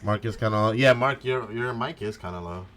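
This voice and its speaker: A man's voice: lowish voice, fairly quick, a bit bright. A man hosting a group voice-acting game stream, chatting casually with friends and reading out game dialogue.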